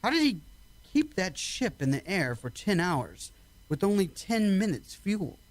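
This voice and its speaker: Military doctor, played by a man, soothing and skeptical, animated intonation, dry radio-drama sound. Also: high-pitched, a little slow, dry recording, rough recording. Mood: musing, curious. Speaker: actor in a radio play. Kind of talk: amateur radio drama acting.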